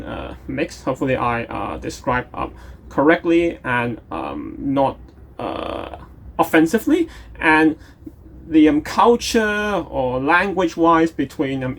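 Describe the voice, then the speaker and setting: Young man, slightly high voice, hesitant casual vlog talk, lots of ums. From Hong Kong. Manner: hesitant, many ums and uhs, restarts. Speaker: travel vlogger.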